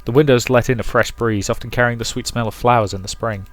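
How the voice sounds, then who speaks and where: A man's voice: mid-range voice, steady tone, quick pace. A man reading a visual novel aloud as dramatic narration for a let's play. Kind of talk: dramatic storybook-style narration with commentary.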